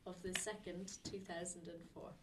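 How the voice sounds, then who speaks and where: Gentle woman interviewer, heard from afar. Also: on the low side, level tone, moderate pace. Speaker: oral history interviewer. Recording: distant mic with faint background noise.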